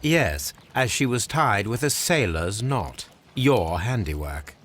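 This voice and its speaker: Low-voiced, shrewd detective. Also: male voice, very animated, a bit bright, faint music behind, exaggerated voice. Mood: assured. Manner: measured, formal, incisive. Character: cool, shrewd Victorian male detective, self-assured and probing. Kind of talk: video game cutscene acting.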